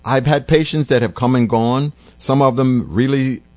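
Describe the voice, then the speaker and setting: A middle-aged man hosting a radio call-in show, listening warmly to callers and then reflecting at length. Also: mid-range voice, steady tone, normal speed, no echo. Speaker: radio talk host.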